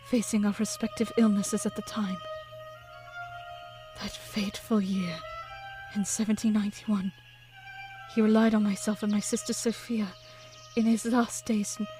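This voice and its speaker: A woman's voice: medium pitch, mellow, lightly acted. A woman playing an older, devoted, grieving widow of a composer, telling her story formally and wistfully in a period audio drama.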